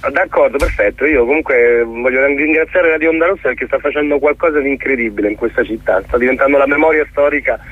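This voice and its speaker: A middle-aged man giving a reflective radio interview as a theatre guest, articulate but often pausing to search for words. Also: mid-range voice. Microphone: telephone. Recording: muffled, thin telephone line on radio.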